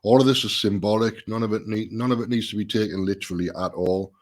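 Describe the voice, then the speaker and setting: A middle-aged man with a stuffy nose, sounding a bit nasal and lower than usual. He hosts a solo live stream in a relaxed, rambling way, restarting his sentences as he thinks aloud. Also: fast. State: stuffy nose from a sinus problem.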